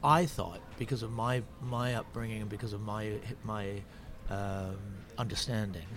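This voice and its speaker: A man's voice: lowish voice, steady tone, moderate pace. A man who is a stand-up comedian, chatting on a podcast, thinking aloud with many restarts. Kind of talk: casual podcast chat. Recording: noisy background.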